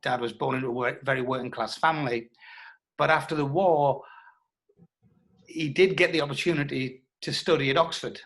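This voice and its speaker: A man's voice: medium pitch, fairly even intonation, creaky, fairly bright tone. Middle-aged guy in an interview, thoughtful writer type, stops and starts as he talks. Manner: thoughtful, halting delivery. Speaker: interviewed writer who adapted his father's stories. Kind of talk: reflective interview talk.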